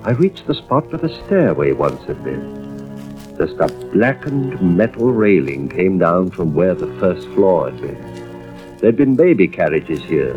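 Hard-boiled American investigator and family man, 1940s radio drama. Very deep, animated, terse; muffled vintage recording. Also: exaggerated voice. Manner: terse, suspenseful first-person narration. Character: intent, hard-boiled male arson investigator and father. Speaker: lead actor and first-person narrator. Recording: dull old radio recording, music behind.

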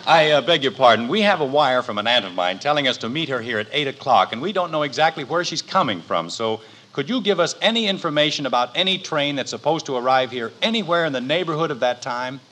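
Animated, exasperated sitcom dad. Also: male voice, medium pitch, fast. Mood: explaining. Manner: dry, put-upon. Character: exasperated, lecturing father of three. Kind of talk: old-time radio sitcom acting.

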